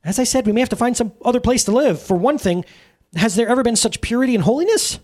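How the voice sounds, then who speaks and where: A man voicing awestruck high-voiced angels. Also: fast. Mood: awed. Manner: high, breathless, excited. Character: awestruck male angels, anxious and wondering.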